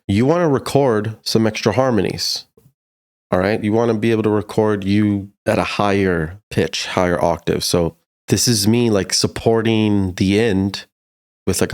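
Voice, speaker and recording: Deep-voiced, laid-back man teaching. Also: measured pace. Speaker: music production tutor. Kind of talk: casual screen tutorial.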